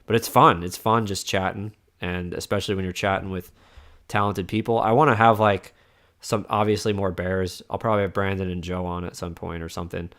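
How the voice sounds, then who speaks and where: A man's voice: low voice, steady tone, brisk, a bit bright. A man hosting a casual streamed podcast, rambling with lots of restarts and fillers as he wraps up with his guest. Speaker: podcast host. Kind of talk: casual chat.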